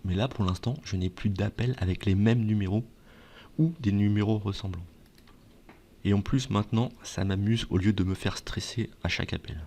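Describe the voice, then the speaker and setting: Man, fairly low voice, video presenter, conversational explainer, question-and-answer delivery. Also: fairly even intonation, normal speed, warm tone. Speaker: video presenter giving advice. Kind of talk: explainer talk to viewers, semi-scripted.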